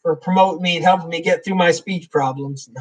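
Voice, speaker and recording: A man's voice: fairly high pitch, fairly even intonation, brisk, recorded from a distance. A man hosting a casual live Q&A, rambling through his answers and reading viewer comments aloud. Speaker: livestream host.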